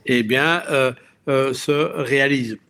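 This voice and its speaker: A man speaking as a health expert guest on a radio show, explaining science conversationally in a didactic, digressive way, often checking in with the listener and pausing to search for words. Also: medium pitch, gravelly, sharp tone.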